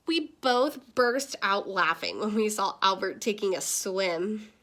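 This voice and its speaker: A woman's voice: mid-range voice, animated, slow pace, a bit bright. A woman reading as a cheerful, cheeky young girl in a storybook, chatty and frank.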